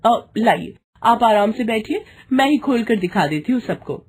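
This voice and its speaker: Woman putting on a high-pitched catty young sister-in-law. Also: expressive, moderate pace, husky. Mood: nosy, falsely sweet. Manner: mocking, fussy, pointed.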